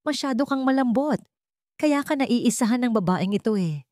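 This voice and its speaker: A woman's voice: a little high, lively intonation, moderate pace, a little mellow, no room sound, pitched above the performer's own voice, natural voice. A woman voicing a cold, contemptuous rich old man in a radio drama, with a dry, commanding, sarcastic delivery. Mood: angry commanding. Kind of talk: Tagalog radio drama storytelling.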